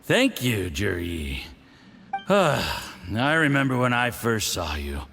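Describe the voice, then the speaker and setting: A theatrical, gravelly, smug drunken schemer. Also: male voice, mid-range voice, huge pitch swings, slow, a bit bright, big-room sound, soft background music, heavily acted. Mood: smug, patronizing. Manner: theatrical, drawling, condescending. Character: smug, drunken male Fatui diplomat, condescending schemer.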